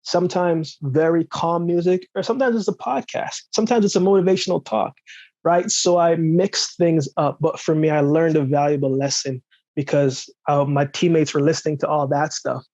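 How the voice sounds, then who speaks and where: An easygoing man from Jamaica tells stories as a podcast guest, often tossing in "right?". His voice sits a little high and sounds dull and lo-fi, with a very dry, close feel. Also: steady tone, moderate pace, a little mellow, dry recording. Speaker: podcast guest, former sprinter. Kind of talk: conversational storytelling.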